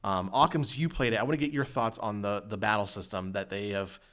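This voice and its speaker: A man hosting a gaming podcast, talking casually in halting, rambling explanations. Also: on the low side, a bit bright. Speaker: podcast host.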